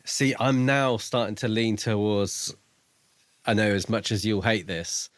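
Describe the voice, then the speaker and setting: A man co-hosting a podcast, discussing a book in a casual, measured and reflective way. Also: lowish voice, no echo. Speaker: podcast co-host.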